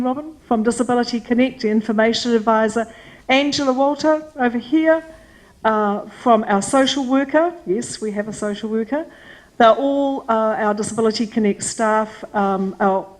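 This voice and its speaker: A middle-aged woman with a New Zealand accent chairing a community forum, speaking in a loud, teacherly voice with a bossy edge and lots of casual fillers. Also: mid-range voice, low-quality recording. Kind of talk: welcoming remarks at a community forum. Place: meeting hall with a large audience.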